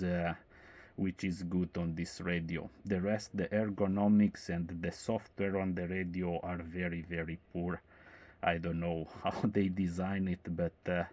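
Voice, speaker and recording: A man talking casually as an amateur radio operator, explaining his points in measured, hesitant phrases. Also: deep voice, darkish tone. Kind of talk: casual radio contact chat.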